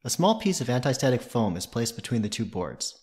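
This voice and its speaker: A man's voice: medium pitch, very animated, fairly quick, a little mellow. A man presenting a tutorial, reading scripted technical narration in a methodical, matter-of-fact way.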